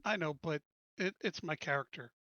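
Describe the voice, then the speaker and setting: A man playing a tabletop roleplaying game, talking casually in a blunt, deliberate way. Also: on the high side, pushed and bright, no echo, dull audio.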